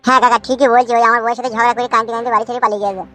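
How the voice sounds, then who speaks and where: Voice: mid-range voice, little pitch movement, racing, bright, forceful tone, soft background music, close to an everyday voice. A performer voicing a hapless, henpecked young village husband in a cartoon comedy, complaining and full of self-pity. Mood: self-pitying, sheepish. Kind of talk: Bengali cartoon comedy dialogue.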